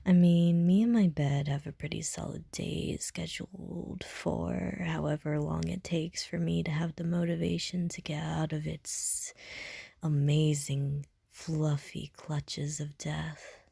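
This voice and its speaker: A deadpan, awkward young slacker woman, voiced by an actress in an audio roleplay. Her voice is low and breathy, and she mumbles slowly in self-deprecating slang. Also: no room sound, clean studio sound, heavily acted.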